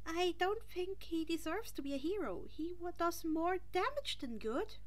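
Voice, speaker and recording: A woman voicing a nervous, excitable teenage boy student who stammers and apologizes, in an audiobook style reading of fan fiction. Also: very high-pitched, measured pace, voice pushed up. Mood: quietly frank.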